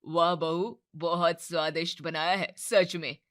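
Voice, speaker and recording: A woman voicing a loud stern father-in-law. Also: steady tone, a little slow. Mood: pleased. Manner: booming, scolding.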